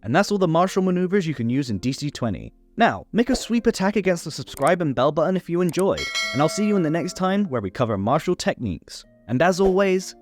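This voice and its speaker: A man's voice: fairly high pitch, very animated, fast, few pauses. A man narrating a scripted tabletop game rules guide, instructional with playful asides. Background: background music.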